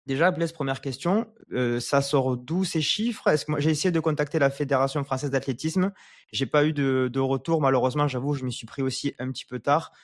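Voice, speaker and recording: A man's voice: mid-range voice, brisk, no room sound, studio quality. A man hosting a podcast in a conversational way. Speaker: podcast host.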